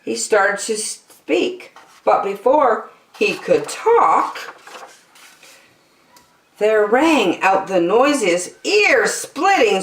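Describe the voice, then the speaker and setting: A woman storyteller reading a children's storybook expressively, with dramatic, deliberate phrasing. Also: medium pitch. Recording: roomy echo.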